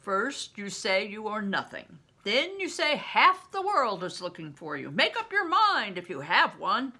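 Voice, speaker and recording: Granny reading as big gruff showman guy, teasing but kind, loud swoopy delivery, storybook style. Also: medium pitch, big pitch swings, measured pace, exaggerated voice. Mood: blustering, assured. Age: older. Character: big blustering, roughly kind male travelling performer.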